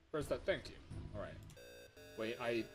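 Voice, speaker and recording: A man doing casual live gameplay commentary as a streamer, excitable and reactive, often muttering to himself as he plays. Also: medium pitch. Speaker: livestreamer. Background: music.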